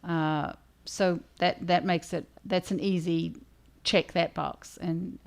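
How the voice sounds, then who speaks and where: Low, creaky-voiced woman chatting casually. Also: steady tone, slow. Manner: conversational, thinking aloud. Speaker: author and livestream host. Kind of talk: casual discussion with readers.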